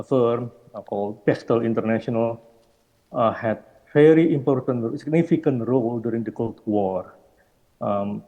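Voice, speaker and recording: A man's voice: medium pitch, mellow, dull audio. A man giving an academic slide presentation at a roundtable, thinking aloud and hedging as he goes. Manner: hesitant, thinking aloud, hedging with "perhaps" and "I don't know". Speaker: panel speaker, architecture researcher. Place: gallery hall at a roundtable. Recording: long hall reverb.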